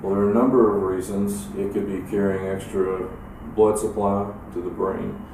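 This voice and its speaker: A man explaining something off the cuff as an academic expert, in a halting, measured, technical way. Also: lowish voice, flat delivery. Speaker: academic expert giving an opinion. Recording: echoey room, distant mic, clear noise.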